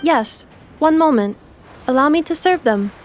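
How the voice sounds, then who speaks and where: High-voiced, composed robot girl. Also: expressive, slight background noise. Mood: polite. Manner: measured, formal, precise. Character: composed, devoted female robot companion, logical and sincere. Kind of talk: video game voice acting. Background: music.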